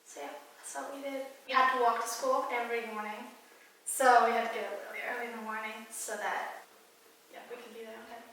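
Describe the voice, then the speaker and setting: A young woman from Ethiopia telling her personal story in a relaxed way, with lots of fillers like "um" and "like.". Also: fairly high pitch, fairly quick, slight background noise. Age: young adult. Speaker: interviewee. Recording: echoey room, mic at a distance.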